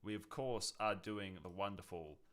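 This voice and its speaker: A man's voice: fairly low pitch, moderate pace, a bit bright. A young man co-hosting a podcast in a casual, conversational style. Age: young adult. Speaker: podcast co-host.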